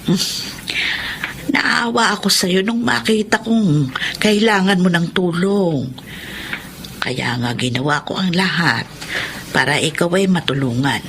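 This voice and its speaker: A kindly, motherly old woman of the village in a radio drama. She speaks slowly and haltingly in a creaky, gravelly voice, with audible noise and ambience behind her. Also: fairly low pitch, animated. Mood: tender, wistful. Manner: slow, halting delivery.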